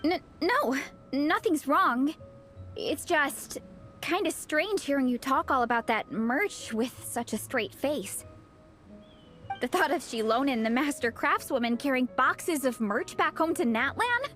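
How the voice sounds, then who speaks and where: A woman's voice: sits high, expressive, unhurried, fairly bright tone, faint music behind. A woman voicing an upbeat young hero-training mentor in a video game, bubbly and encouraging, with frequent laughs. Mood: amused.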